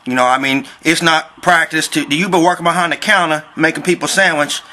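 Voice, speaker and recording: Man putting on a bright, fast-talking folksy Southern complainer. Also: a little high, fairly even intonation, slight background noise. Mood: aggrieved, insistent. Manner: rambling, mock-polite, insistent, folksy slang. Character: folksy, persistent Southern construction worker with a complaint. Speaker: prank caller. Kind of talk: improvised prank phone call.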